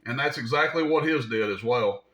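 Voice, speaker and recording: A man's voice: mid-range voice, brisk, bright, forceful tone, low-quality recording. A man giving a casual hands-on equipment demonstration, explaining things step by step in an informal, folksy way. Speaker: radio repair video host demonstrating gear.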